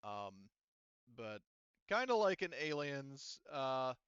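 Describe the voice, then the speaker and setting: A man runs a tabletop game in a casual, sweary way. His voice is bright and smooth at an average pitch, recorded dry and a little dull. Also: big pitch swings, takes it slowly, no room sound. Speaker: game master. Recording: slightly dull, dry close-up audio.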